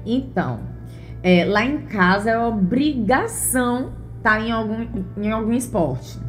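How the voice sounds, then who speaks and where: A woman's voice: on the high side, measured pace, faint music behind. A woman, a mother answering interview questions in an earnest, thoughtful way with frequent fillers. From Bahia, Brazil. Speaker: interview guest, mother and former gymnast. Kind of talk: relaxed interview conversation.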